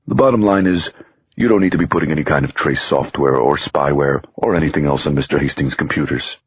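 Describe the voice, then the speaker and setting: Audiobook character played by a male narrator: brash working-class attorney, Brooklyn accent, extremely low, rough voice. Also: quick pace, no echo, muffled recording. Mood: smooth, firm. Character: brash blue-collar Brooklyn male lawyer, blunt and cocky.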